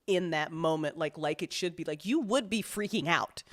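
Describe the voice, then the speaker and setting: A woman's voice: low voice, moderate pace. A woman in a casual panel chat, speaking as a director in an emphatic, gushing, profanity-laced way. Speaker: audio drama director.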